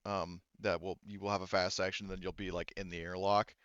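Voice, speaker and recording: Bright-voiced man running a tabletop game. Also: sits low, little pitch movement, fairly quick, clear, resonant tone, no room sound, dull audio. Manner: informal, hesitant, thinking aloud. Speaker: game master. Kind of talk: casual narrating and refereeing of a tabletop roleplaying game.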